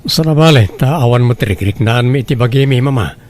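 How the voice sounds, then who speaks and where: A man's voice: medium pitch, fairly quick, darkish tone, close to an everyday voice. A man with an Ilocano accent playing a proud, unbending businessman father in a radio drama, firm and dismissive. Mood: defensive, firm.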